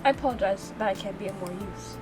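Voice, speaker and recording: A woman voicing a blunt doctor in a visual novel game, speaking in a dry, measured, formal manner. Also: mid-range voice, music in the background, close to an everyday voice, low-quality recording. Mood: blunt, apologetic. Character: blunt, dry adult doctor, politely exasperated. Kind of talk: visual novel game dialogue read aloud.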